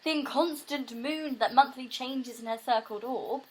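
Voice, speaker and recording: A woman's voice: high voice, moderate pace, lightly acted. A woman acting a young, lovestruck, earnest and anxious maiden in a Shakespearean audio drama, with theatrical verse delivery, recorded at home on a phone. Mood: earnest, wary.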